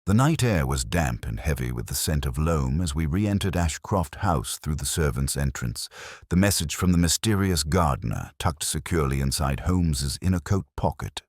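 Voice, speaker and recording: A man with an extremely low voice narrates a Victorian detective tale. His delivery is measured and formal, with lively movement in pitch. The sound is dry and close, like a studio audiobook. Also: slightly rough, no echo. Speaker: narrator, reading as Dr. Watson.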